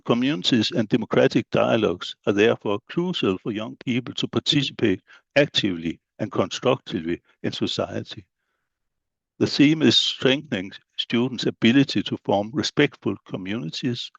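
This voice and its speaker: Middle-aged man, Danish accent, gravelly voice, dry slightly muffled sound, measured talk. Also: lowish voice, no room sound. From Denmark. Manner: measured, list-like delivery with fillers. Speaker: head of studies at an upper secondary school. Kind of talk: conference presentation.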